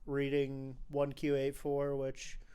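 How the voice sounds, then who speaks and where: A man's voice: mid-range voice, monotone, slow pace, dark tone, smooth. A man hosting a casual film podcast with enthusiastic, opinionated chat, recorded in an echoey room at home. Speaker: podcast host.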